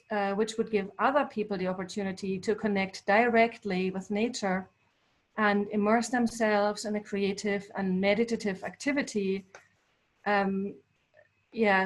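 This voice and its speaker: A woman whose first language is German, giving a thoughtful presentation about her artwork to a small gathering, with frequent pauses and filler words. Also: medium pitch. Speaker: artist giving a talk. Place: local climate cafe event.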